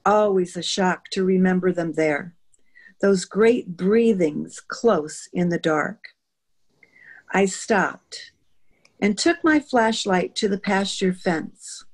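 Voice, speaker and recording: A woman reading poetry aloud in a slow recitation. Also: on the low side. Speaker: poetry reader.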